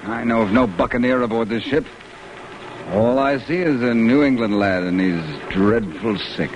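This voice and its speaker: An actor playing a devout, commanding New England sea captain with formal, archaic speech in an old-time radio drama. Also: male voice, mid-range voice, moderate pace, natural voice. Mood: firm, protective. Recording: muffled old radio recording with background hiss.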